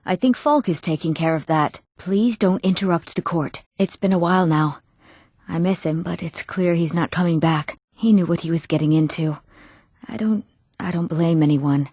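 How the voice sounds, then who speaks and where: An actress voicing young townswomen and nobles in a fantasy video game, with earnest, clearly spoken scripted lines. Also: female voice, on the low side, lively intonation, moderate pace, hardly any pauses, a little mellow, dry recording, studio quality. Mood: sad, resigned. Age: young adult.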